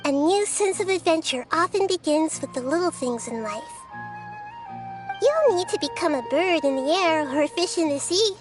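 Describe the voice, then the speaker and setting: A woman voicing a gentle, wise young girl deity in a video game, speaking in a thoughtful, measured, childlike way. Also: very high-pitched, expressive, music in the background. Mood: gentle, encouraging.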